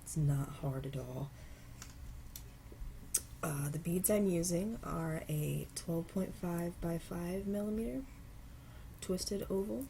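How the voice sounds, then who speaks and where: A low-pitched woman with a cold talks viewers through making earrings at home, sounding stuffy. She is relaxed and unhurried, and now and then stops to apologize. A faint hiss of background noise sits behind her voice. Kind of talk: casual step-by-step craft tutorial. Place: home craft table.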